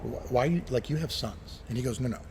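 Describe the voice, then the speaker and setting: A middle-aged man speaks at an ordinary pitch in relaxed, anecdotal conversation. He is an enthusiastic storyteller who voices the quotes in his tales. Faint background noise sits behind him. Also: fairly quick. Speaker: interview guest, retired watch collector.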